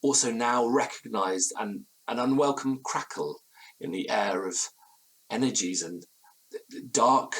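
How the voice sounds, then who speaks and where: An actor playing an anxious, haunted gentleman narrating a period ghost story in florid, formal, faltering language. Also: mid-range voice, husky, rough recording. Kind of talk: dramatic first-person horror narration in audio drama.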